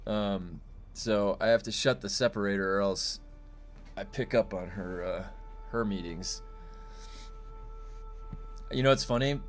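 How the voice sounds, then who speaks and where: A man with a loud, booming voice, chatting loosely and profanely on a game live stream from home, with lots of fillers. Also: measured pace, pauses often, soft background music. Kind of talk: casual live-stream chat. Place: home room.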